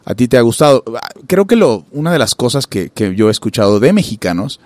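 Male interviewer from Venezuela, mid-pitched, chatty and filler-heavy, dry close sound. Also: sing-song, brisk, a little mellow, dry recording. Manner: chatty, loose questions padded with "eh" and "este". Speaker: podcast host, interviewer. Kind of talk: casual interview chat.

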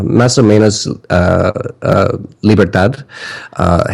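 A man answering interview questions in halting speech, stopping often with "ah" as he searches for words. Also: low-pitched, darkish tone. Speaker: interview guest.

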